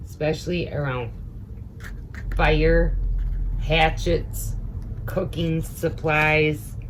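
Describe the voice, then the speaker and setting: A middle-aged woman reading a story aloud to children in an expressive, motherly way, with chatty asides. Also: low voice, a little hiss or hum, poor audio.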